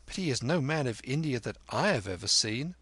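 A man's voice: medium pitch, expressive, brisk, fairly bright tone, breathy edge, no echo, pitched above the performer's own voice. A man voicing a bold, cheeky street boy with brash, teasing, old-fashioned speech for a storybook. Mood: brash, curious. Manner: brash, teasing, archaic phrasing.

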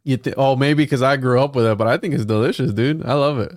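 A man's voice: medium pitch, fast, a little mellow, no echo, studio quality. A man from Puerto Rico co-hosting a livestream, chatting enthusiastically. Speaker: co-host. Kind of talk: casual livestream chat.

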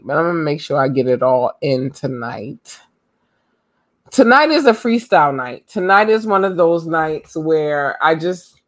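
Woman podcast host, hoarse, casual, and chatty, recorded dry. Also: low-pitched, no echo. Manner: loose and chatty, full of ums and slang. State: losing her voice. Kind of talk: casual live podcast talk.

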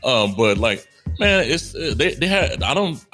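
A man's voice: medium pitch, sing-song, measured pace, soft background music. A young man, co-hosting a casual talk show, talking loosely with lots of fillers, restarts and "you know what I'm saying". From United States. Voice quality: strong, loud voice. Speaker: podcast co-host. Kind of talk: casual conversation.

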